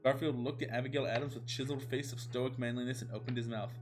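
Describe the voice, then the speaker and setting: A man's voice: mid-range voice, steady tone, fast, soft background music. A man narrating comic fan fiction in a mock-dramatic way. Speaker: storyteller, show host.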